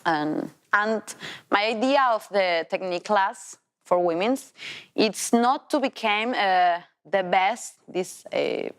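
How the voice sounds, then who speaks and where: A woman in her thirties with an Argentine accent, a Spanish speaker telling her story as a tango teacher in halting English with many restarts. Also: medium pitch, smooth. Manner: non-native English, halting restarts, laughs while telling. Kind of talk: personal storytelling.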